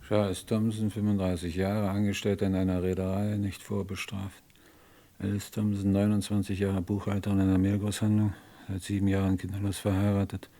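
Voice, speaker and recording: An actor playing an insistent, methodical police inspector in a radio crime drama, questioning formally and probingly. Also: male voice, on the low side, mellow, lightly acted. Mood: matter-of-fact reading.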